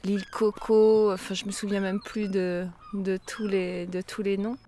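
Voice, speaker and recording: A woman's voice: medium pitch, little pitch movement, a little slow, mellow. A woman, a volunteer housekeeper at a sailing base, chatting and reminiscing with hesitations in an interview. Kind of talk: casual interview. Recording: noisy outdoor recording.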